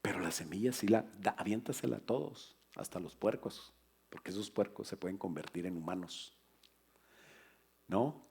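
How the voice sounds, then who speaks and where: A husky, lower-voiced man preaches with animated energy, joking with the congregation and then pressing his points home. He is a middle-aged pastor speaking in a church. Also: normal speed. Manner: lively preaching with humor and asides to the congregation. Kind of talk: sermon.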